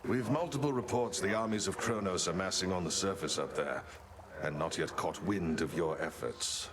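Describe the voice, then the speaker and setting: An actor playing a dutiful, genial warrior comrade in a video game, soldierly and polite. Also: male voice, deep voice, normal speed, crowd noise, close to an everyday voice. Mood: steady concern. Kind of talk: video game character dialogue. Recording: clear ambient noise behind the voice.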